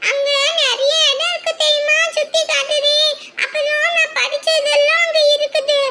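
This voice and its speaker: A chirpy little grandchild, as a performer voices the child, eager and bouncy with a piercing, bright tone. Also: extremely high pitch, flat delivery, normal speed, slight background noise, exaggerated voice.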